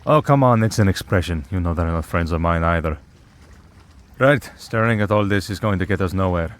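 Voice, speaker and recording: A man's voice: sits low, fairly quick, close to an everyday voice. A man playing a centuries-old vampire priest in an audio roleplay, dry, sardonic and protective. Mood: exasperated, dry.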